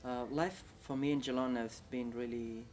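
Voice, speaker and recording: Brief: man, Vietnamese accent, halting non-native English, soft music under. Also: medium pitch, steady tone. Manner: halting, many restarts, second-language English. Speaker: interviewee. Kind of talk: casual recollection.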